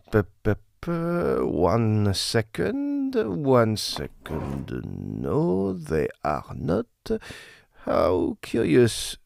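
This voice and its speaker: An actor playing a fussy, courteous maestro of a Victorian opera company in an audio farce, hesitant and theatrical. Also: male voice, medium pitch, creaky, no room sound, clean studio sound, full character voice. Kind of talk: period farce audio drama acting.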